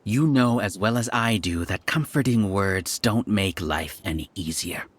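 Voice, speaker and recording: Arrogant hive mind villain for a game, grand and scornful, dramatic swings in pitch, music behind. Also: male voice, expressive. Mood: cold, scornful. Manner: grandiose, contemptuous, measured menace. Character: arrogant scholarly villain, overmind of a hive collective. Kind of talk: video game voice acting. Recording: background music under dialogue.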